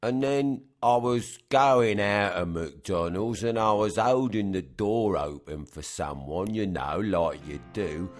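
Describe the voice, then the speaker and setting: A man's voice: fairly low pitch, slow, hardly any pauses. An actor playing a dopey, girl-crazy secret agent in a comic radio play, rambling in a dreamy, laddish way. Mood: dreamily smitten. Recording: music behind the voice.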